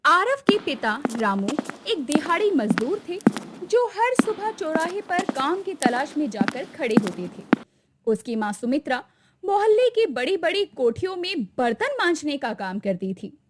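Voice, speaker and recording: High voiced lady narrating a drama story, really animated up and down. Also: unhurried, heavy background noise.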